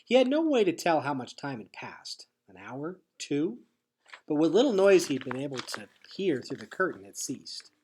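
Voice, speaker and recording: A man with a husky voice reading a children's novel aloud as expressive storybook narration. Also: on the high side, moderate pace. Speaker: teacher reading a chapter aloud.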